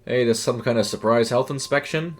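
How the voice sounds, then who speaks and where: A man voicing a blunt young female fast-food worker with an informal, sardonic manner in an audio story. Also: mid-range voice, pitched above the performer's own voice, natural voice. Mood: wry.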